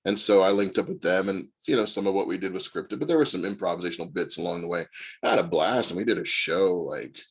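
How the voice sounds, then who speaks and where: A man in his forties from Indiana, talking as a guest in a relaxed interview. His voice sits very low and has a husky edge. He tells long, winding stories loaded with fillers. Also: quick pace. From Indiana, USA. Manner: rambling storyteller with many fillers. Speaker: guest actor. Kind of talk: casual interview chat.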